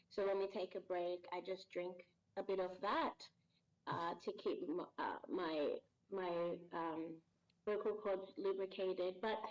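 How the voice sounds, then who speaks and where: A woman speaking at a middle pitch, measured and reflective, taking her time over each answer. Her voice comes through a dull, lo-fi recording. She talks casually as a guest voice actor. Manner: measured, thoughtful, explains at length with pauses. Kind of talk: casual interview chat. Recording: dull lo-fi sound.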